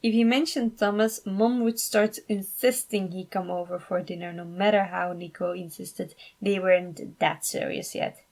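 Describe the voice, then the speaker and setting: A woman narrates a scripted story in a mid-pitched voice. There is clear vocal fry in her delivery as she reads the fan fiction aloud. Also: steady tone, normal speed, few pauses, darkish tone.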